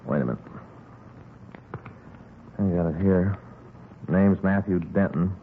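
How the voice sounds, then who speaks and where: An American actor playing a methodical, matter-of-fact police detective in a 1950s radio drama, in clipped, businesslike sentences. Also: male voice, low voice, takes it slowly. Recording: noisy, tinny 1950s radio recording.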